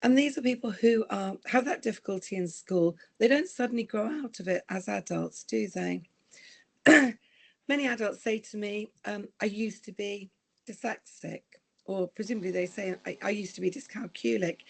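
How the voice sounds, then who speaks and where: Croaky woman presenting. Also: medium pitch, a little mellow. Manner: earnest, explanatory, asks for slide changes. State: croaky, recovering from illness. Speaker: guest presenter. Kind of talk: online presentation talk.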